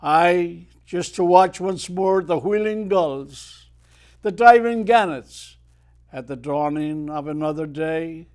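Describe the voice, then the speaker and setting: An Irish man in his eighties, a retired mariner guest telling stories and reciting his own poetry in a measured way. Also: a little high, darkish tone, clear, resonant tone. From Ireland. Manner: measured storyteller who declaims verse with swelling emphasis. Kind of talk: unhurried storytelling and poetry recitation.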